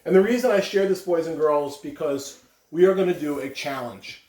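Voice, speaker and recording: A man's voice: fairly high pitch, moderate pace, a little mellow. A man speaking emphatically and directly to children, giving school announcements and telling stories. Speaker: school announcer reading aloud. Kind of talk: morning announcements and storytelling for children. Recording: echoey room, distant microphone.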